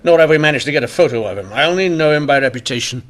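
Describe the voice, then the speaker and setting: An actor playing a stern, driven Victorian inspector in a radio mystery, with emphatic, intense delivery. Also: male voice, a little high, animated, very fast, natural voice. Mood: urgent explaining. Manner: emphatic, intense, clipped declarations. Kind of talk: old-time radio mystery acting.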